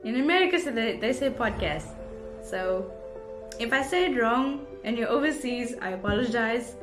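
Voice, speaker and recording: A woman's voice: on the high side, expressive, moderate pace. A young South African woman chatting casually to her viewers as a vlogger, rambling along in an effusive, gushing way. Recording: roomy indoor echo, music underneath.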